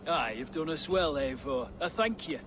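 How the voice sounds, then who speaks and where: A man's voice: a little high, animated, normal speed, background noise, lightly acted. A man playing a blunt, plain-spoken Saxon ally of a Viking warrior in a video game. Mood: grateful, warning. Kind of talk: dramatic video game acting.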